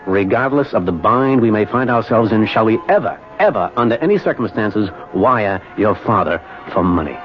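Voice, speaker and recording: An actor playing an earnest, restless husband in a radio drama, speaking emphatically and sometimes halting under pressure. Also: male voice, on the low side, animated, faint music behind, lightly acted. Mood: eager, earnest. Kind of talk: 1970s radio drama acting. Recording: dull lo-fi 1970s radio broadcast.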